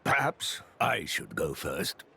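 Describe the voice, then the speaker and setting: A man playing a gentle old talking beast in a video game, with a gravelly, husky voice and a folksy, nostalgic way of talking. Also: fairly low pitch, very animated, noisy background, heavily acted. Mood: resigned. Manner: slow, folksy, unhurried. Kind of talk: video game cutscene acting.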